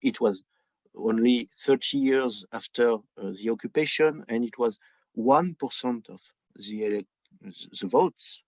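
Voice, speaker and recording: A man's voice: medium pitch, measured pace, no room sound, highs cut off. A man from France with a French accent, giving political commentary in conversation as an interview guest and searching for his words. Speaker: interview guest, book editor.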